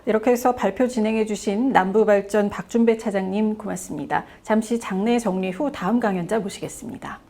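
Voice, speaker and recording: A woman's voice: medium pitch, fairly quick, hardly any pauses, mellow. A woman hosting a formal event, introducing speakers in a polite, scripted manner. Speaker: event host.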